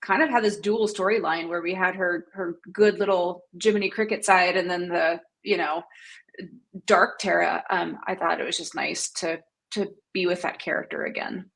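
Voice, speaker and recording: A woman's voice: on the low side, fairly even intonation, moderate pace, creaky, fairly bright tone. A woman speaking as a panelist in a casual discussion, reflective and articulate, in long full sentences.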